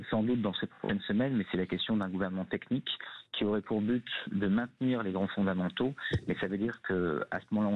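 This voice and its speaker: A man's voice: lowish voice, phone-quality sound. A man with a French accent from France analyses politics live as a studio guest, often repeating words as he searches for his phrasing. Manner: hesitant starts with repeated words. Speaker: political scientist guest on a radio debate. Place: radio studio.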